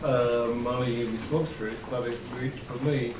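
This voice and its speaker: A man's voice: medium pitch, fairly even intonation, normal speed. Distant, echoey man at a meeting. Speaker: parish councillor. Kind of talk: meeting discussion. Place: indoor parish council meeting room. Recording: distant mic, echoey room, clear noise.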